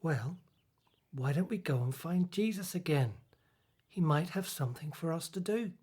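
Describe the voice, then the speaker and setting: A man's voice: fairly high pitch, lively intonation, moderate pace, a little mellow, husky. An actor playing a hesitant but hopeful male follower in biblical radio drama dialogue.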